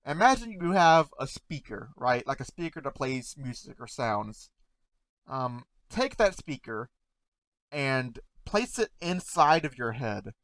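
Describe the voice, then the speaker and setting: A man in casual talk to camera, halting and stuttering, repeating words and often trailing off softly, close to the mic at home. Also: medium pitch, very animated, dry recording, rough recording. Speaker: content creator reacting to memes.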